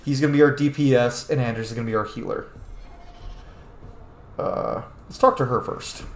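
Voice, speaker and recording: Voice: mid-range voice, moderate pace, reverberant. A video game streamer giving casual let's play commentary, informal and sweary, thinking aloud.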